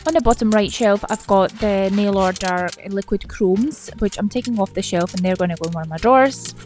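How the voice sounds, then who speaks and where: A woman's voice: medium pitch, brisk, darkish tone, soft background music. A woman from Estonia talking casually and playfully to herself and her viewers, like a home vlogger. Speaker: vlogger, nail technician. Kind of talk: casual chatty vlog voice-over while tidying.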